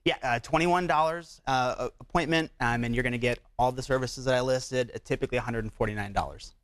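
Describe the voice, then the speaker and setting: Fast-talking man, explanatory doctor guest. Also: medium pitch, a bit bright, no room sound. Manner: explanatory, uses rhetorical check-ins like "right?" and "okay?". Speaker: doctor, interview guest promoting a clinic. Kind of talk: conversational promotional TV interview.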